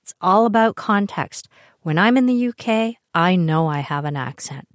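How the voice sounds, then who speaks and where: An older Canadian woman chats through family stories in a wry, animated way, her voice sitting fairly low. The sound is dry and close, with no room echo. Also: normal speed. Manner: chatty, wry, animated asides. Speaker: podcast host. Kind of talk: personal storytelling monologue.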